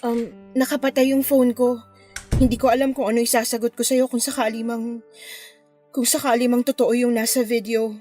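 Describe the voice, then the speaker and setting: A woman's voice: fairly high pitch, flat delivery, normal speed, warm tone, natural voice. An actress playing a hesitant, troubled young woman in a Filipino radio drama. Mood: hurt, confused. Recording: music under the dialogue.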